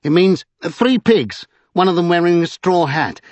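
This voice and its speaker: A man's voice: high voice, animated, moderate pace, a little mellow, no room sound, voice pushed up. A man voicing a gleeful, teasing vagabond who talks in riddles in a storybook reading. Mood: mocking, teasing. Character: gleeful, capering, mischievous vagabond man, a living book of magic.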